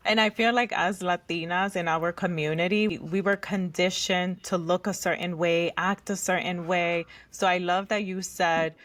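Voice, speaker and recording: A woman co-hosts a casual interview with a slightly low voice. She speaks animatedly as she puts questions to the guest. The recording is dry, with no room sound. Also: steady tone, normal speed, few pauses, a bit bright, dry recording. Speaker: co-host.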